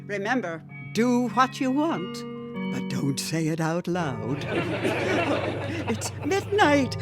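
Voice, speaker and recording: A smug establishment hostess from a satirical audio play. She is gushing and theatrically polite, like someone presiding over a gala. Music plays behind her voice. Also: a little high. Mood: gushing, excited. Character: gushing, smug establishment woman hosting a celebration. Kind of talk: satirical audio drama acting.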